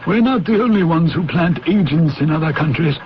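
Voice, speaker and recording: Man acting a high-voiced, courteous British intelligence chief. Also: lively intonation, close to an everyday voice. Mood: firm, instructing. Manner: formal, instructing, deliberate. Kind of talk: 1970s radio drama acting. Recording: dull, hissy 1970s radio recording.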